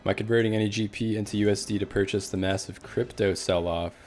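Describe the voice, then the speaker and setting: A man's voice: lowish voice, fairly even intonation, quick pace, a little mellow, soft background music. A young Canadian man streaming a game, chatting casually with viewers in a laid-back way, with a Canadian accent. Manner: laid-back, reads chat aloud, many fillers. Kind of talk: casual live-stream chat while gaming.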